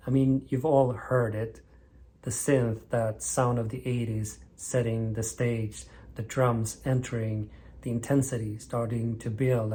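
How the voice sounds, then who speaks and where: A man in his thirties speaks with a mellow, warm tone. He narrates a scripted vinyl show with measured enthusiasm, sometimes lifting his voice when excited. His pitch sits in the middle of the range for men. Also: slight background noise. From northern Sweden. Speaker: vinyl record channel host.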